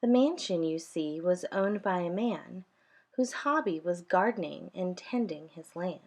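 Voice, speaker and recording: A woman reading a children's storybook aloud in an expressive, deliberate, rhythmic way. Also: on the low side. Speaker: storytime reader.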